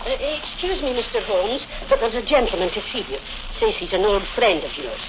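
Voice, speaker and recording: An actress playing a kindly, deferential housekeeper, homely and respectful, in 1940s radio drama style. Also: female voice, mid-range voice, big pitch swings, brisk, close to an everyday voice. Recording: noisy muffled 1940s radio recording.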